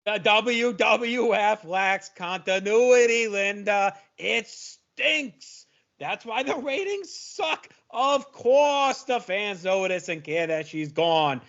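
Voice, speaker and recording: A man's voice: sits high, animated, slow pace, a bit bright, exaggerated voice. A man playing an angry, opinionated wrestling fan clerk in a comic audio play, ranting emphatically with plenty of swearing. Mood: indignant. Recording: dull lo-fi audio.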